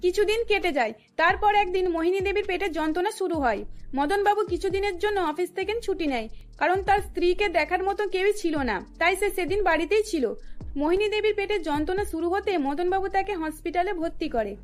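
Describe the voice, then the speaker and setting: Very high-pitched woman narrating a cartoon story. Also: brisk, soft background music.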